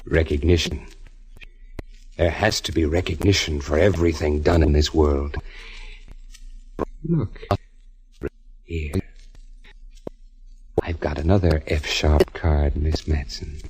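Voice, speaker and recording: Deranged killer, extremely low voice, slow sinister menace, theatrical old-time radio thriller. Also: male voice, fairly even intonation, darkish tone, low-quality recording. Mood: cold threat. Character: menacing, deranged male killer and failed artist. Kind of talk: old-time radio crime drama acting.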